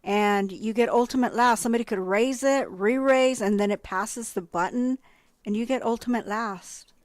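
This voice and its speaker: A woman's voice: on the high side, moderate pace, a little mellow, dry recording, studio quality. A woman co-hosting a poker stream, chatting casually and easily about how she plays. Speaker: poker commentary co-host.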